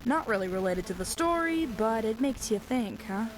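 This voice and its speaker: A woman's voice: on the high side, animated, moderate pace, over music, natural voice, low-quality recording. A woman playing a stranded survivor in an audio drama, rambling and joking wryly into a radio in a conversational way. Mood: wry storytelling. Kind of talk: audio drama monologue sent as a radio broadcast.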